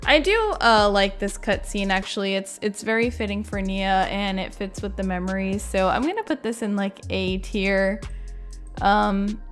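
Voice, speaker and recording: A woman's voice: mid-range voice, moderate pace, faint music behind. A woman hosting a gaming video, giving casual, unscripted reaction and ranking commentary in an informal, conversational way, thinking aloud.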